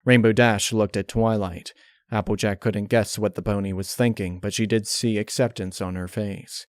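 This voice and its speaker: A man narrates dark fan fiction in a fairly low voice. His reading is measured and solemn, in a scripted storytelling style. The sound is dry, free of room echo. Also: steady tone.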